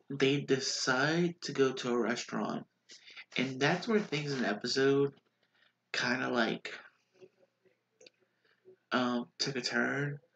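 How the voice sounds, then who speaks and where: A man's voice: mid-range voice, gravelly. Stuffy-nosed man rambling through a recap. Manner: rambling, filler-heavy, restarts a lot. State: stuffy, congested nose. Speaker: vlogger recapping a reality show. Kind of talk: casual recap chat. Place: small bedroom with posters on the wall. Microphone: webcam at desk.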